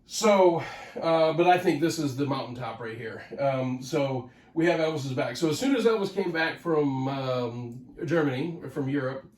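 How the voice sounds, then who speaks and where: A man's voice: mid-range voice, moderate pace, a little mellow, reverberant, recorded from a distance, poor audio. A man hosting his own channel, talking casually and a little nasally because of a head cold, rambling with lots of fillers and restarts. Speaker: host of a record collecting channel. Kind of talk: casual solo talk to camera.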